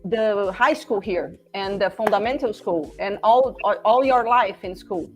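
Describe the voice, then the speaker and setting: A woman in her forties from Brazil, speaking English with a Brazilian Portuguese accent as a podcast guest, halting and searching for words with many restarts. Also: medium pitch, a little mellow, soft background music. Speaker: podcast guest, former classmate. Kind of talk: casual conversation.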